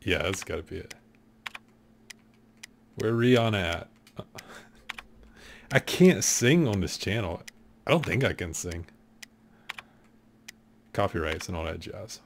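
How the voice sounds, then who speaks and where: A man in his thirties with a deep, low voice, chatting casually as he streams from his desk at home. He jokes and thinks out loud at an easy pace. Faint keyboard and room noise sit behind him. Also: expressive, slow pace, creaky, long pauses. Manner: laid-back, joking, thinking aloud. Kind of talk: casual gameplay commentary.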